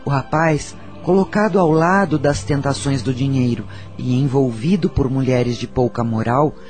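An actor playing a solemn, wise spiritual counsellor in a radio soap, preaching and storytelling in a measured way with a Brazilian accent. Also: male voice, mid-range voice, darkish tone, faint music behind, close to an everyday voice. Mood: grave storytelling.

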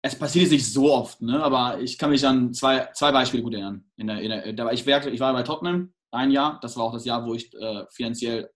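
A man's voice: medium pitch, fast talker, few pauses. A young man from England, the guest in a casual interview, talking hesitantly with many restarts and fillers.

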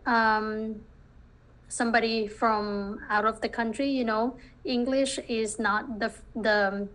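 Woman, slightly high voice, full of fillers. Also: little pitch movement, slow pace, fairly bright tone, low-quality recording. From Philippines. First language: Filipino language. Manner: hesitant, many restarts and "you know" fillers. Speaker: virtual assistant business owner. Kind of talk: interview answers.

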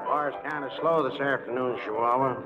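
A man's voice: medium pitch, fairly even intonation, moderate pace, lightly acted. Man acting easygoing frontier barkeep, mid-range voice, noncommittal, folksy, music behind, dull old radio sound. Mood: laid-back, cautious. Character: easygoing, noncommittal male saloon bartender. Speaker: actor. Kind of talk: 1940s Western radio drama acting. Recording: muffled 1940s radio recording with music.

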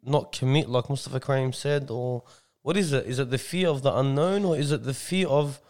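A man's voice: mid-range voice, a little fast, a little mellow. A man co-hosting a casual discussion, posing and rephrasing probing questions. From Australia, Lebanese background. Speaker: podcast co-host.

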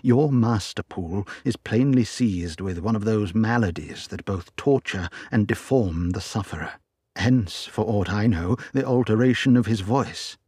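A man voicing a grave, deliberate middle-aged male lawyer in a storybook reading. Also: lowish voice, darkish tone, breathy edge, dry recording, clean studio sound. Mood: measured. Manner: measured, deliberate.

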